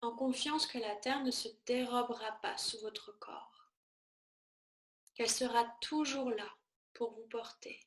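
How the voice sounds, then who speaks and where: A woman teaching yoga nidra, guiding a relaxation meditation in a soothing, measured manner with short, spaced-out phrases. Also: fairly high pitch, fairly even intonation, echoey room.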